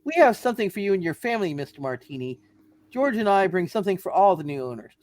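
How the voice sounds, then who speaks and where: A man's voice: sits high, very animated, fast, a little mellow, no room sound, studio quality. A man voicing a sweet, devoted young small-town wife in a hammed-up radio play reading. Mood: warm, ceremonial.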